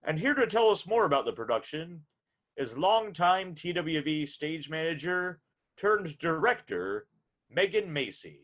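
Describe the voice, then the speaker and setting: Animated male radio host, higher-pitched voice. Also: a little slow. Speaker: host and announcer of a radio drama hour. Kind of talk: radio host introduction and interview.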